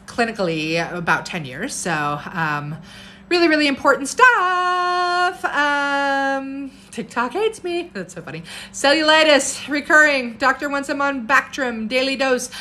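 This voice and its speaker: A woman in her thirties with a loud voice, an herbalist chatting casually on a phone live stream at home and answering viewer comments in an animated way. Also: sits high, unhurried, slight background noise. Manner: animated, jumps between topics and viewer comments, upbeat. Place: home room with bookshelves, indoors. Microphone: phone camera at arm's length.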